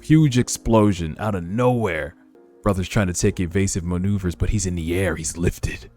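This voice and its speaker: Man, very low voice, slangy streamer commentary, informal, faint background music. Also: moderate pace, darkish tone. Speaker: streamer reading a webtoon aloud and reacting. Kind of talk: casual reaction commentary with read-aloud dialogue. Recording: music behind the voice.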